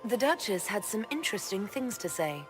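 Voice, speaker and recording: An actress playing a guarded, dry-witted heroine in a video game, with a cool and direct manner. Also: female voice, mid-range voice, very animated, a little fast, music in the background, natural voice. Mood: cool, wry. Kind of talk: video game dialogue acting.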